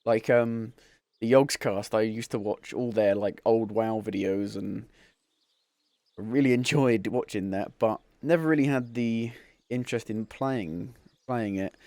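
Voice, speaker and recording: A man's voice: fairly low pitch, measured pace, a little mellow, no room sound. A man casually commenting as he starts playing a game on stream, rambling and chuckling at himself. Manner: rambling, chuckling, self-deprecating. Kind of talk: casual let's-play commentary.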